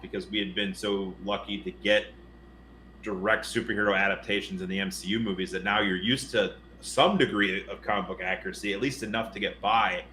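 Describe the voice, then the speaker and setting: A man's voice: deep voice, bright, forceful tone. A man co-hosting a casual podcast, rambling emphatically and often stopping to rephrase mid-thought. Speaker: podcast co-host. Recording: roomy echo, faint noise.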